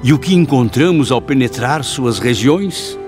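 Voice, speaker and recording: A man's voice: mid-range voice, animated, a little slow, close to an everyday voice. An actor playing the anguished spirit of a man who took his own life, narrating a drama in solemn, florid declamation. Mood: grave, reflective. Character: anguished male spirit of a suicide recounting his torment. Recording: voice under loud music.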